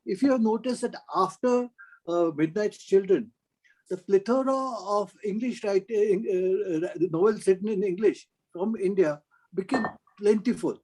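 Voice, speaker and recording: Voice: medium pitch, moderate pace, dark tone, rough recording. A moderator who breaks in to add a point, then hands the floor to the next questioner. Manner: interjects, then speaks at length. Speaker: moderator of a discussion. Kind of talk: moderating a panel discussion.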